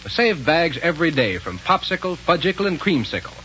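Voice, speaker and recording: An American man announces in 1930s radio style, addressing children like a sponsor's pitchman. His voice is fairly high. The recording is muffled with faint noise. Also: very animated, a little fast, pushed and bright.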